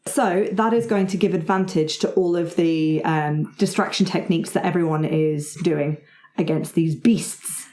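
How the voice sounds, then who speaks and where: A woman's voice: sits low, normal speed, darkish tone, roomy sound. A woman working as game master, narrating a tabletop roleplaying game and encouraging the players' ideas.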